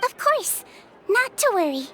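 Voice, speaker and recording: A woman's voice: very high voice, big pitch swings, a little slow, a bit bright, full character voice. A woman voicing a small, sweet, earnest childlike character in a video game, with a cute manner. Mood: earnest, gentle. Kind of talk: scripted video game dialogue. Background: background music.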